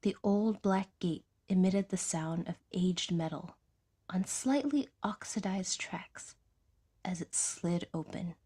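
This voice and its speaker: A woman's voice: on the low side, slow, mellow, husky. A woman reading scripted fiction narration in the manner of an audiodrama storyteller.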